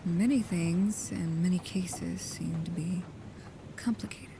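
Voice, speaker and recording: A woman playing a guarded, protective vampire with a painful past, speaking slowly, softly and hesitantly, often in whispers, in an intimate ASMR roleplay. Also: lowish voice, steady tone, background noise. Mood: guarded, serious.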